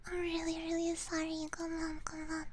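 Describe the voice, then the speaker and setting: A woman whispering breathily into a close binaural microphone, chatting casually and haltingly with viewers in slangy, self-deprecating ASMR style. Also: extremely high pitch, monotone, very noisy. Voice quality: breathy, mostly unvoiced whisper. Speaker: ASMR livestreamer.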